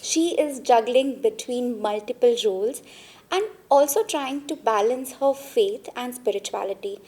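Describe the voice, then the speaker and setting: A woman's voice: on the high side, moderate pace, mellow. A woman hosting a podcast, chatting casually with a guest and switching between English and Hindi. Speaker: podcast host. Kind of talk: casual interview chat.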